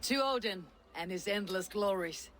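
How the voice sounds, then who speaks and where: An actor playing a proud, cocky Viking raider in a video game, confident and drily taunting. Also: male voice, high voice, normal speed, pushed and bright. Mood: dry confidence. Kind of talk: video game character acting. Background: ambient noise.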